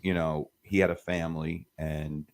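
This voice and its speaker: A man hosting a casual podcast chat, speaking in an earnest and measured way with frequent pauses. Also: extremely low pitch, little pitch movement.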